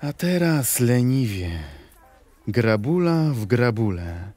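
A man with a husky voice reciting poetic prose in a measured, deliberate way, as the narrator of an audio play. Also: medium pitch, sing-song, warm tone.

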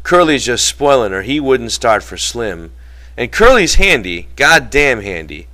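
Guy playing a chatty young ranch hand in an audiobook, folksy slang, sarcastic, bright forceful voice. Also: medium pitch, big pitch swings, measured pace. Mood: gossipy. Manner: folksy slangy rural speech, double negatives.

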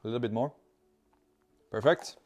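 A man's voice: medium pitch, normal speed, background noise. Cheerful Swedish-accented man cooking. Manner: chatty, cheerful, talks while working with food. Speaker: cooking video host. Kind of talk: casual cooking demonstration. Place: home kitchen with open garden door. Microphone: lapel mic.

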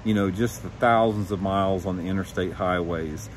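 A man's voice: low voice, normal speed, a little hiss or hum. A man in his fifties talking frankly and emphatically to camera, giving candid opinions like an experienced professional. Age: 50s. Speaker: professional angler and vlog host. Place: suburban backyard, outdoors.

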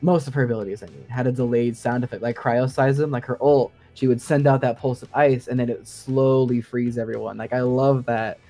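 Low voiced woman guest on a gaming podcast, explaining stuff casually, bit of music behind. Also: fairly even intonation, brisk, few pauses, dark tone. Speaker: guest co-host, sound design enthusiast.

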